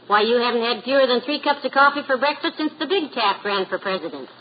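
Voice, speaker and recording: Lady acting as sensible teasing wife, 1940s radio comedy, high voice, muffled sound. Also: expressive, fast talker, a little hiss or hum, lightly acted. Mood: concerned, wry. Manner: wry, coaxing.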